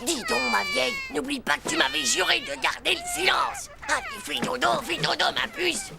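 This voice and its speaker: Une femme qui joue un écolier de dessin animé effronté et excité, à la voix râpeuse, au parler argotique, geignard et vantard. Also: female voice, high voice, moderate pace, very noisy, exaggerated voice. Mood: bossy, hushed threat. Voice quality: gravelly. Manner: slangy, whiny, boastful. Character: cheeky, excitable, scheming little schoolboy. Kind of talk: French animated cartoon acting.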